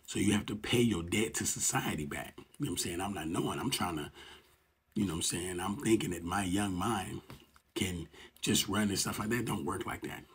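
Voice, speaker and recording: Low husky-voiced man telling stories. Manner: slangy, laid-back, keeps checking in with listeners. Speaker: vlogger telling his life story. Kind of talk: casual storytelling to camera. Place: indoors, green-screen map background.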